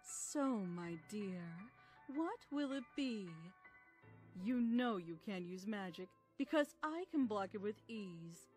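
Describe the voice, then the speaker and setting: A woman's voice: medium pitch, expressive, slow, a little mellow, faint music behind. A woman playing a falsely sweet, murderous princess alicorn in a fan audio drama, coaxing and menacing. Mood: gloating menace.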